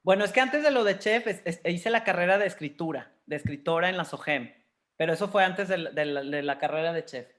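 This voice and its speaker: A woman's voice: on the low side, a little fast. Mexican woman in her fifties, candid storyteller. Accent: Mexican Spanish. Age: 50s. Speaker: interview guest. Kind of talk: candid personal storytelling in conversation.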